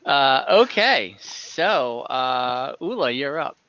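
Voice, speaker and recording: Lively, bright-voiced man running a game. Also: mid-range voice, slow, no room sound, lo-fi sound. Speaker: game master. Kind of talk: casual tabletop game narration.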